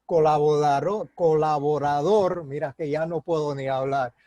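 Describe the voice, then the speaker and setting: A man hosting an interview with a high, mellow voice in a dry, close room. He reads out a long, formal biography of the guest, stumbling over words and joking at his own expense, then asks his questions. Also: steady tone, measured pace, dry recording, dull audio.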